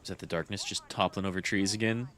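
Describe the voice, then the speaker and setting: A man's voice: low voice, steady tone, fast, a bit bright. A man with a stuffy nose giving casual, chatty commentary while playing a game, swearing and incredulous.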